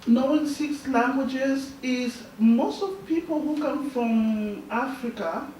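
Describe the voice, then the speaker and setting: A young woman from Burundi, whose first language is Swahili, speaks softly and haltingly as a guest. Her voice comes from across an echoey school hall, picked up by a distant microphone with faint background noise. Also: high-pitched. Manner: soft-spoken, halting, laughs often. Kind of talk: answering audience questions.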